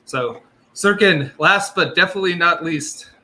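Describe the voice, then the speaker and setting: A man's voice: high-pitched, moderate pace, pushed and bright. A man hosting a casual tech podcast, upbeat and friendly, saying "y'all". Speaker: podcast host.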